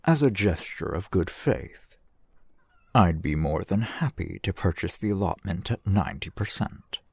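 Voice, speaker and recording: A man's voice: sits low, expressive, a little slow, gravelly, slightly rough, dry recording, exaggerated voice. A man playing a courteous, shrewd fantasy shopkeeper in an ASMR roleplay, with formal, eloquent merchant patter. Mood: gracious, measured. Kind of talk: ASMR fantasy roleplay, softly acted one-sided dialogue.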